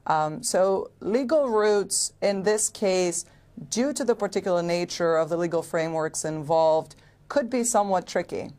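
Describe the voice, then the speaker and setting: A woman who lectures in international law explains legal routes as a TV panel guest. Her voice sits low, and she phrases things carefully and precisely, pausing on ums. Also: darkish tone.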